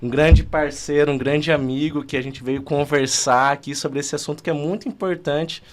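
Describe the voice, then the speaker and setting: A man's voice: a little high, steady tone, normal speed, a little hiss or hum. Brazilian guy, 30s, podcast host, chatty and upbeat, keeps tossing in "né?". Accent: Brazilian Portuguese. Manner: friendly, promotional, with frequent "né?" tags. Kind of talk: conversational podcast interview.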